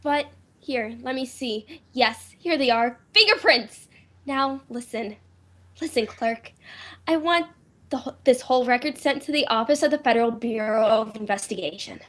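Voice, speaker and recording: A woman's voice: fairly high pitch, moderate pace, a bit bright. A woman playing an anxious, insistent well-to-do lady in old time radio drama style, urgent and faltering in manner. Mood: agitated, urgent.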